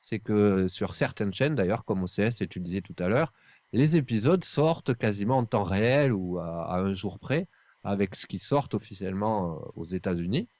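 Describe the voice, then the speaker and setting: Low-voiced man in casual, emphatic chat. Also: expressive, moderate pace, darkish tone, no echo.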